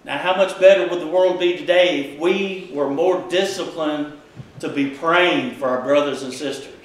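A middle-aged man, a pastor preaching a folksy, animated sermon in a small church. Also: fairly high pitch, normal speed, a little hiss or hum. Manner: folksy, animated, gesturing widely while preaching. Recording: echoey church room.

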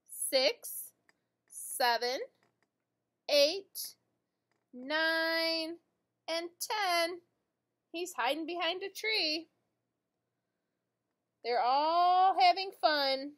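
Female primary teacher, middle-aged, high-pitched and slow, singsong picture-book read-aloud for little kids. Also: fairly bright tone. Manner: singsong, deliberate, addressing young children. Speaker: teacher reading to children. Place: indoor school classroom.

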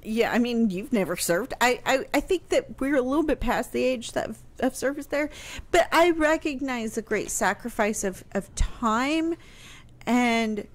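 An American woman in her thirties discussing history conversationally on radio into a close microphone, hesitant and pausing mid-thought. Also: a little high. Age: 30s. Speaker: radio history show guest expert. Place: small home room, indoors. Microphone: close boom-arm studio microphone with headset.

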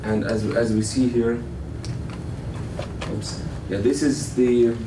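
A middle-aged man, giving a guest lecture to a college class, measured and full of fillers. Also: mid-range voice, little pitch movement, background noise. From Palestine. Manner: measured, many fillers, gestures with both hands. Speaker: guest speaker. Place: classroom with a blackboard. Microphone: far camera. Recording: echoey classroom from far off.

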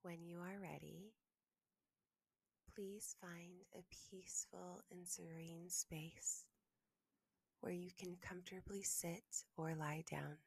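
A woman leading a guided meditation as host, speaking softly and gently in a breathy half-whisper with long, soothing pauses. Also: lowish voice, steady tone, dry recording.

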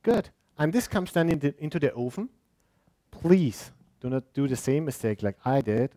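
Young male presenter, mellow tone, halting English, dry classroom sound. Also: medium pitch, lively intonation, dry recording. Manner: halting non-native English, restarts words. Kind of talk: cooking demonstration in English. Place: indoor classroom with audience.

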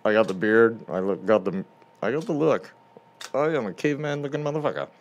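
A man's voice: lowish voice, a little fast, dull audio. A man from Montana with a northern US accent, co-hosting a podcast in casual, joking chat. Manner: animated, joking, swears casually. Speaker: podcast co-host.

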